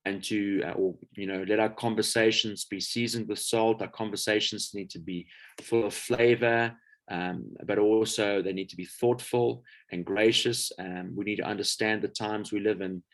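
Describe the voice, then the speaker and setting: A man delivers an informal lecture in a low, easygoing voice. He talks in a relaxed, chatty way and fills his pauses with little hesitation sounds. He is heard over a video call. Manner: relaxed and chatty, with frequent um fillers. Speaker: guest speaker, apologetics lecturer.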